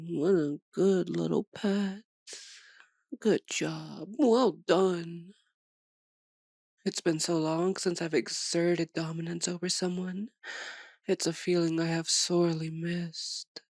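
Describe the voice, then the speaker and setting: Woman acting a slow, sadistic, centuries-old vampire. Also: fairly low pitch, a little mellow, no room sound, studio quality. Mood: smug, possessive. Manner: theatrical, condescending, drawn-out menacing delivery. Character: centuries-old sadistic female vampire, imprisoned, dominant, mocking. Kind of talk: ASMR roleplay, dramatic monologue.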